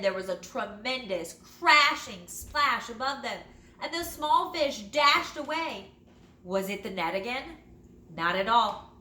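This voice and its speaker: Bright-voiced woman reading a storybook aloud. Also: mid-range voice. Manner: expressive, dramatic storytelling with drawn-out phrases. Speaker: teacher reading to pupils. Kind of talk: animated children's storybook read-aloud. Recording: roomy echo, light background noise.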